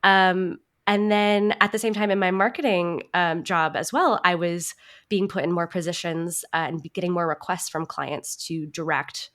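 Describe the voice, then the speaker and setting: A woman's voice: fairly low pitch, fairly even intonation, normal speed, a bit bright. A woman answering interview questions conversationally, in a reflective and earnest manner. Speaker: podcast guest, actor and writer.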